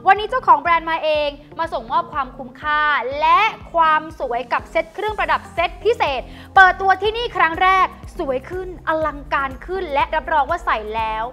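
Young female co-host, very high bright voice, upbeat selling, soft music under it. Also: animated, fairly quick, hardly any pauses. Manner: enthusiastic, selling to viewers. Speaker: shopping show host. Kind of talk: TV shopping presentation. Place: TV studio.

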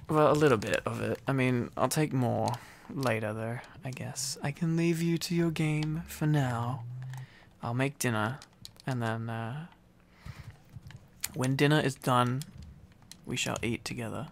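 A man's voice: medium pitch, measured pace, gravelly, slight background noise. A man playing a needy, silly, affectionate boyfriend in an intimate ASMR roleplay, rambling and teasing playfully.

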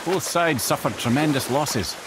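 An actor playing a talkative, clever old advisor in a video game, with a Scottish accent and a wordy, wry, old-fashioned way of telling things. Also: male voice, fairly high pitch, animated, moderate pace, noisy background, close to an everyday voice. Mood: reflective storytelling. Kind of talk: video game character dialogue. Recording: game music behind the voice.

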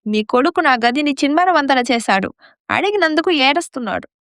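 A woman playing an arrogant, scheming young daughter-in-law in a moral story drama, sharp-tongued and complaining. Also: sits high, expressive, brisk, no room sound, studio quality. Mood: accusing.

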